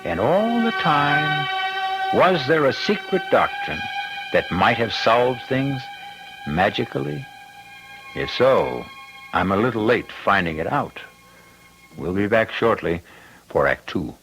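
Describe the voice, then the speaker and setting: An actor as the wry, droll host of an old radio mystery series, musing in a deliberate, theatrical manner. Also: male voice, mid-range voice, sing-song, over music, poor audio. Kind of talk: 1970s-style radio drama host narration.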